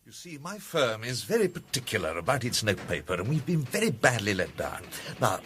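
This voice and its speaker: Urbane, animated English gentleman detective. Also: medium pitch, fairly quick, a little hiss or hum. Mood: affable. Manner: polished, theatrical, articulate. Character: urbane, self-assured gentleman amateur detective, pleased with his cleverness. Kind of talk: British radio drama acting.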